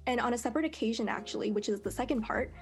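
A young woman, a fourth-year student, speaking in a polished, measured, carefully worded way as a panel guest. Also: a little high, faint music behind. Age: young adult. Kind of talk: prepared self-introduction on a panel.

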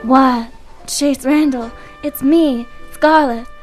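A woman's voice: high-pitched, fairly even intonation, slow, darkish tone, soft background music. A woman playing a melodramatic female client in an improvised noir radio drama, theatrical and hesitant. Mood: distressed.

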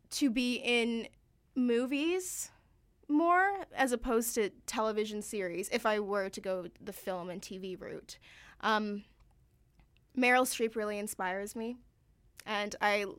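A woman's voice: on the high side, a bit bright. A woman in her early twenties, an actor being interviewed, talking in a chatty way and laughing easily. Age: 20s. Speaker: actor guest. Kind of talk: casual interview.

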